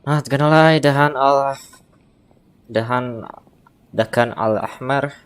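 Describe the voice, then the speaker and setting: A man giving casual live reaction commentary as a game streamer, halting and sweary. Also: medium pitch, clear, resonant tone.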